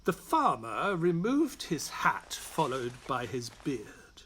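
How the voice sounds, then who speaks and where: An actor playing an earnest, polite English doctor and loyal sidekick in an audio drama, who narrates and gets easily flustered. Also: male voice, medium pitch, wildly swooping pitch, takes it slowly, a bit bright, slight background noise, full character voice. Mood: measured storytelling.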